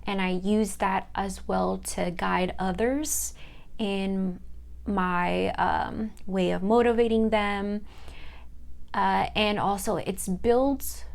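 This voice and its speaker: Slow, gravelly-voiced young woman. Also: mid-range voice, fairly even intonation. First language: Italian. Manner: halting, searching for words with many fillers and restarts. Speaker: student. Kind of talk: personal reflective monologue.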